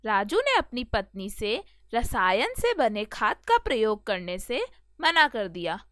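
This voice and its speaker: A woman's voice: a little high, very animated, unhurried, fairly bright tone, no echo, clean studio sound. A woman narrating a scripted children's moral story as a storyteller.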